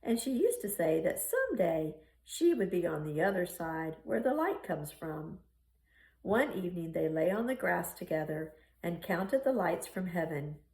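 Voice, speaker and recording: A woman reading a children's picture book aloud in an expressive storytelling style. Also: lowish voice, moderate pace. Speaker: storybook reader. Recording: roomy, echoing room sound.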